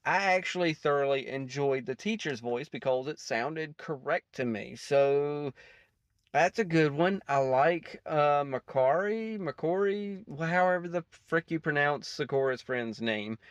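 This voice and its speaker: Male reaction host, slightly high-pitched, casual and rambling, dry recording. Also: a little slow, no echo. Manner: rambling, self-interrupting. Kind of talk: casual off-the-cuff commentary.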